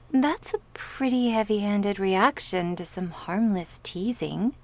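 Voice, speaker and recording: A woman playing a politely teasing, smug young recruit of a crime syndicate, soft and breathy in an ASMR roleplay. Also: mid-range voice.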